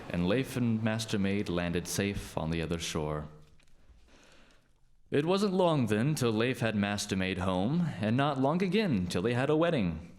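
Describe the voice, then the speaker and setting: A man narrating a scripted folk tale as a storyteller. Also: fairly low pitch.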